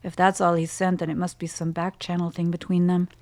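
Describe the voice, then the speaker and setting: A woman's voice: lowish voice, little pitch movement, brisk, a little mellow, no room sound, close to an everyday voice. A woman playing a cool, exacting scientist in a radio drama, speaking in a clipped, authoritative manner. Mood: measured, analytical.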